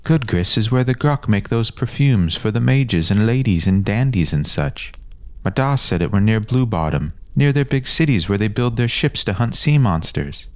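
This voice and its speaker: A man acts a know-it-all boy from a fantasy city's streets. The voice sits raised and youthful, scolding and cocksure. The grammar is rough and rustic. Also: on the low side, lively intonation, normal speed, a little mellow, no room sound, pitched above the performer's own voice, natural voice. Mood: cocksure, explaining.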